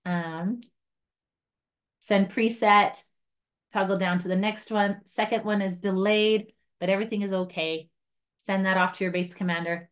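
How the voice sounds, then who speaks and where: A woman's voice: medium pitch, steady tone, unhurried. A woman trainer walking guides through a device step by step in an informal, chatty way, with light self-mocking asides. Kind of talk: informal hands-on device tutorial.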